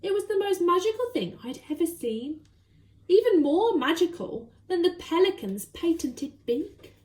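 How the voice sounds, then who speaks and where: A woman reading a children's story aloud expressively, with dramatic, deliberate emphasis. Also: very high voice, sing-song, a little mellow, low-quality recording.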